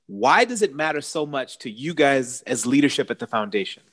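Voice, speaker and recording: A male presenter introduces a series segment in a light, scripted way. His voice is mid-pitched and plain. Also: very animated, normal speed, a bit bright.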